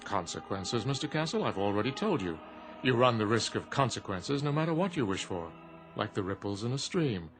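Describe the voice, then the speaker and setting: A sly smooth-talking genie, proper and measured, radio drama with soft music under it. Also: male voice, lowish voice, very animated. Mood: suave. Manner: formal, measured, precise.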